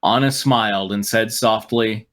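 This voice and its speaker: A man's voice: on the low side, a little slow, a bit bright. A man reading a story aloud as host and breaking off for sarcastic, irreverent asides. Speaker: host and reader on a fan fiction riffing show.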